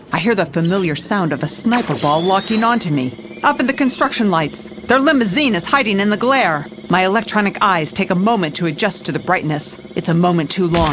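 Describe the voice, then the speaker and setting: An actress playing a world-weary, dogged female police commissioner, narrating noir-style in a dry, deadpan way. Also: mid-range voice, background noise, lightly acted. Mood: grim, resolute. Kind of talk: noir detective narration and dialogue.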